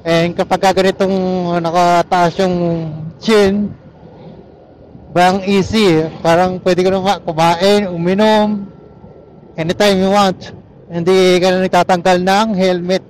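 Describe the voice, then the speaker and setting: A Filipino man with a high-pitched voice talks in a casual, rambling vlog style, slipping easily between Tagalog and English. He speaks into a helmet mic while riding, with faint vehicle and road noise around his voice. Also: flat delivery, measured pace, pauses often, poor audio. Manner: easygoing Taglish code-switching. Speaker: motorcycle vlogger. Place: outdoors on a motorcycle, inside a helmet. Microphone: helmet intercom or action camera mic.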